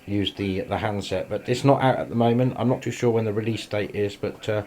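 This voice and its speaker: Low-voiced English man chatting casually. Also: brisk, darkish tone. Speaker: amateur radio operator filming a shack tour. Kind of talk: casual vlog chat. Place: small indoor radio shack at home. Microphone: handheld camera. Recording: faint noise, home radio shack camera.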